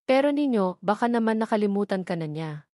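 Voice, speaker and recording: A woman's voice: mid-range voice, lively intonation, brisk, warm tone, no echo, studio quality, natural voice. A woman voicing a fretful, doting middle-aged mother in a romance audio drama. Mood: worried, insistent.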